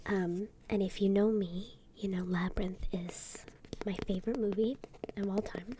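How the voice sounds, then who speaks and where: A woman whispering softly in an unhurried ASMR style while under the weather. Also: mid-range voice, fairly even intonation, background noise. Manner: soft whispering, gentle and unhurried. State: sick, occasional throat clearing. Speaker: YouTuber. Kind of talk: whispered ASMR tarot deck unboxing.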